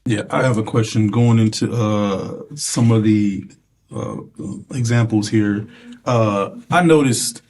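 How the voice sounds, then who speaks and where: A man with a light, soft voice who speaks hesitantly and stops often to search for words. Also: fairly low pitch, takes it slowly, slight background noise. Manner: hesitant, many fillers. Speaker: participant.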